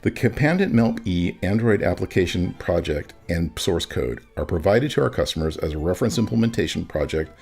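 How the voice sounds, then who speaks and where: A man with a fairly low voice narrates a software demonstration. He reads from a script as a tutorial presenter. Music plays behind him. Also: animated, brisk, few pauses, breathy edge. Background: background music.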